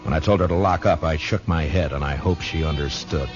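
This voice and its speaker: A man acting a grim, steady American marshal in a radio western, laconic and authoritative. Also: a bass voice, brisk. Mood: grave, reflective. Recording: dull vintage 1950s radio recording with music.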